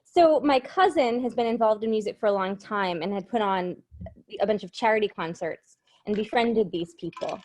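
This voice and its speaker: A woman's voice: mid-range voice, moderate pace, a little mellow. A woman in her thirties from Cape Cod, with a light Massachusetts accent, chatting as a singer-songwriter guest in a casual interview, bubbly and self-deprecating.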